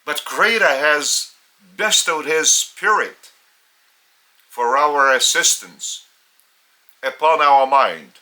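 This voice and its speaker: A man with a Lithuanian accent, a native Lithuanian speaker, giving a solemn spiritual teaching in English in slow, deliberate phrases with long pauses. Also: on the high side, pushed and bright, poor audio.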